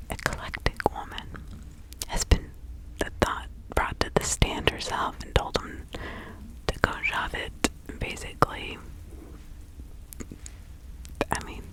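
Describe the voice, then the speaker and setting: Woman as secluded colonial witch, breathy whisper, slow pace, gossipy and cautious, confiding ASMR roleplay. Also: lightly acted, low-quality recording. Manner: confiding, rambling, conversational. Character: reclusive 1600s village witch, gossipy, widowed, cautious fortune teller. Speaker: ASMR performer.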